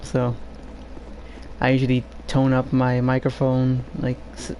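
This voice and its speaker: A soft-spoken man with a low voice, chatting shyly and hesitantly with lots of fillers while streaming a game from home. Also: monotone, unhurried, slight background noise, rough recording. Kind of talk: casual gameplay stream chat. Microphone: home desk microphone.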